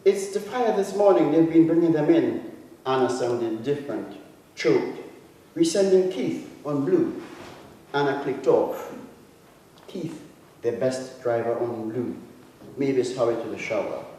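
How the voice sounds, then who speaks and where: A man reads literary fiction in a big echoing hall, picked up from some distance. His voice is mellow and soft-edged, and he phrases each passage deliberately, with dramatic pauses. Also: medium pitch. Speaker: author reading own short story. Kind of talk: literary reading of prose fiction. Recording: echoey hall, distant mic, faint noise.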